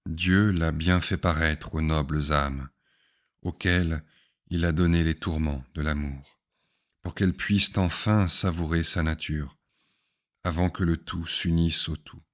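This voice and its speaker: Very low-voiced man reciting slowly. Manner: measured, deliberate phrasing in short groups with pauses. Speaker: reader of spiritual poems. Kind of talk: slow solemn poetry recitation.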